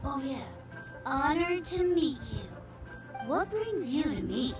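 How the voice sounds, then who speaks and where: High-pitched, boastful little boy. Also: very animated, slow, recorded from a distance, over loud music, heavily acted. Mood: playful, pompous. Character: cheerful boastful little boy playing at martial-arts master. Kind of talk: video game voice acting.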